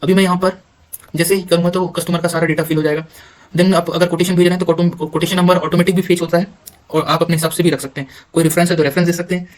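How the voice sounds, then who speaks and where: An Indian man giving an informal software walkthrough, halting at times and mixing English terms into his speech. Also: fairly high pitch, flat delivery, low-quality recording. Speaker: software demonstrator. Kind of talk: informal screen-recorded tutorial walkthrough.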